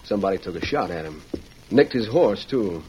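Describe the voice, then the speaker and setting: A folksy American frontier sheriff, steady and plainspoken with a rustic drawl. The sound is a dull vintage radio recording with clear background noise. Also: male voice, low-pitched, fairly quick. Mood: matter-of-fact. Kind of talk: old-time radio Western acting.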